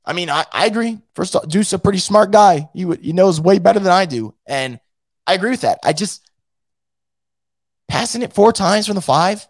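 A hoarse man whose voice is nearly gone rants through a casual fan show. His pitch sits high and swings widely, and he talks bluntly, swears freely and restarts his sentences often. The sound is dry and close. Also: animated, normal speed, dry recording. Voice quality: blunt and profane, with many restarts. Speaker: fan show host reading live chat. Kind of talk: casual post-game rant.

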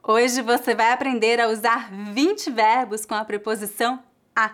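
A Brazilian woman teacher with a slightly high voice, speaking in a clear and measured way. She reads out grammar examples one at a time, enunciating each word carefully in the even cadence of a prepared lesson. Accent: Brazilian Portuguese. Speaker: Portuguese teacher.

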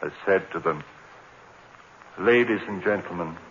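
A brooding, haunted lecturer. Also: male voice, sits low, unhurried, a bit bright. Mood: haunted narration. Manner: intense, brooding, breaking into frantic outbursts. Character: haunted lecturer driven to madness. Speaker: narrator. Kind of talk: 1940s radio acting. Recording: muffled old radio broadcast with hiss.

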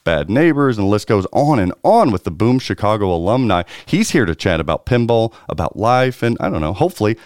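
A man's voice: fairly low pitch, very animated, brisk, hardly any pauses, a little mellow, no echo, clean studio sound. A man hosting a pinball podcast, announcing in a showy, hype style before settling into casual chat. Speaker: podcast host.

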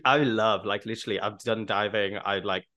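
A man's voice: fairly low pitch, steady tone, moderate pace, sharp tone. A man hosting a podcast, talking informally with a bantering, playful manner. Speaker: podcast host. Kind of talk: casual podcast intro and playful chat.

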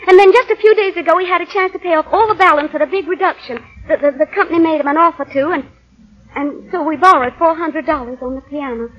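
Woman acting young southern wife in old radio play, high voice, genteel n anxious, muffled 1940 recording. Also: fast talker, natural voice. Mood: anxious, earnest. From Southern United States. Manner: flustered, pleading.

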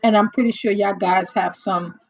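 A middle-aged woman hosting a casual livestream chat, rambling and chatty with lots of fillers and laughs, a little hoarse as she loses her voice. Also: mid-range voice, steady tone, normal speed, no echo, muffled recording. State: losing her voice, slightly hoarse. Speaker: livestream host. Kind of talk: casual chat with live viewers.

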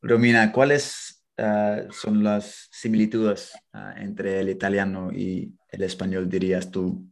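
Interviewer from London; very low, gravelly voice, short prompting questions. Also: completely flat delivery, slow, hardly any pauses.

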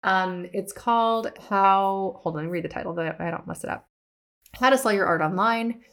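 A woman's voice: medium pitch, normal speed, fairly bright tone. A woman hosting an art business podcast, talking casually to listeners with self-deprecating humor and upbeat emphasis. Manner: chatty, laughs at herself, upbeat emphasis. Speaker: podcast host and art educator. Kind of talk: casual teaching monologue.

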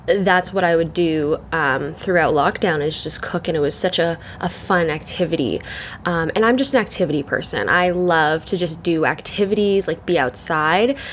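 A young woman hosting her solo podcast, chatting in a rambling way full of fillers, her voice croaky and congested from the morning. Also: on the low side, a little hiss or hum. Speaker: podcast host. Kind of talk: casual solo chat.

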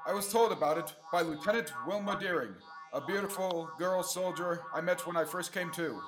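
A man's voice: high-pitched, brisk, a bit bright, soft background music, rough recording. A young man playing an eager, adventurous space hero in old-time radio serial style, earnest and full of gee-whiz enthusiasm. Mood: earnest, proud. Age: young adult. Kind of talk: 1930s radio serial acting.

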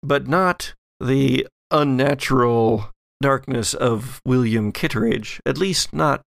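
A man acting as game master, narrating a tabletop horror game in a measured, halting way. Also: medium pitch, gravelly, dry recording, clean studio sound.

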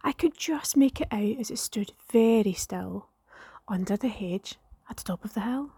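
A woman reading a rhyming poem in a hushed, partly whispered way. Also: on the high side, moderate pace, slightly rough, no room sound, clean studio sound. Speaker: library staff member reading a poem. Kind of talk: poetry reading.

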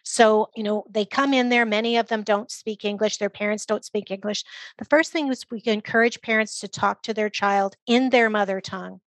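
Woman explaining a program on a podcast, medium pitch, really dry room sound, informative. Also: fairly even intonation, normal speed, no room sound, rough recording. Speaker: podcast guest, literacy program director. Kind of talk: explanatory interview answers.

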